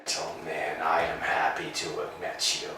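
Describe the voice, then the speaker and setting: An actor playing a pompous, domineering landowner in a staged play reading, barking orders. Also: male voice, a bass voice, completely flat delivery, moderate pace, a loud crowd, very noisy. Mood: commanding. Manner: grandiose, bellowing commands. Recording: echoey room, far mic.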